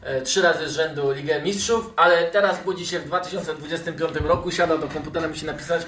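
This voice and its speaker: Higher-voiced man in a roomy, distant recording. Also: steady tone, quick pace, fairly bright tone. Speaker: podcast host. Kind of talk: casual football discussion. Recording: roomy, distant mic with noise and clicks.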